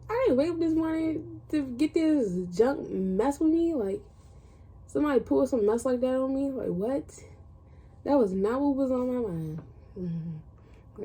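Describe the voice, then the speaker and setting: A woman's voice: on the high side, warm tone, husky. A young American woman telling a personal story casually, in a slangy way, acting out what people said. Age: young adult. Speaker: storytelling vlogger.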